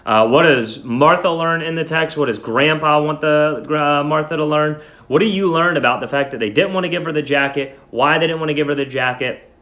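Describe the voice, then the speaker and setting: A man speaking as a teacher, reading and instructing in an expressive, emphatic way. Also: mid-range voice. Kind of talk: dramatic story reading and lesson instruction. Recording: echoey room.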